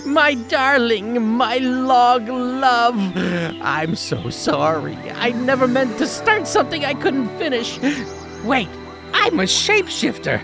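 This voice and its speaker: A woman's voice: fairly high pitch, expressive, slow, music in the background. A woman playing a lovestruck, dreamy shapeshifting alien tourist in an audio drama, with melodramatic, swooning delivery. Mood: lovesick, dramatic. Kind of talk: scripted audio drama acting.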